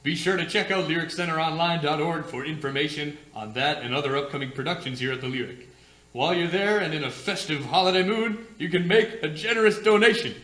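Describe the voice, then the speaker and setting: Male community radio announcer, slightly high and bright, scripted promo read. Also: a little fast. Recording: roomy, echoing space.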